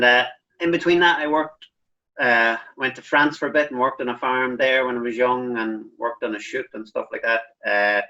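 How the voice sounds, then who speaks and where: A man in his forties speaks as an interview guest, frank and self-deprecating. His voice is bright and forceful. The recording sounds dull and low-fidelity. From Northern Ireland. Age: 40s. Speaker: dairy farmer, guest. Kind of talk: casual interview storytelling. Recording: dull lo-fi line.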